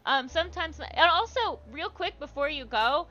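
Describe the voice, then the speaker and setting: A woman's voice: high-pitched, animated, moderate pace, bright, forceful tone, muffled recording, faint music behind. A woman hosting a livestream, chatting casually and encouragingly with viewers and reading their comments aloud. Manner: encouraging, supportive. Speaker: livestream host.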